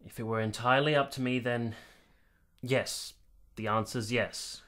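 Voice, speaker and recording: Actor as a reserved, polite period gentleman. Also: medium pitch, fairly even intonation, unhurried, close to an everyday voice. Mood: guarded, kind. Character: reserved, dutiful man in 1870s Italy, guardedly kind. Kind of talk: radio drama acting.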